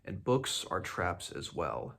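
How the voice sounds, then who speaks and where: A man reads as a learned doctor from a fantasy novel, low-pitched with a creaky edge. He explains things in a measured, bookish manner, leaning firmly on key words. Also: sing-song, a bit bright, exaggerated voice. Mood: earnest, teaching. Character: learned doctor mentor explaining books as magical traps. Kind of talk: fantasy novel read aloud.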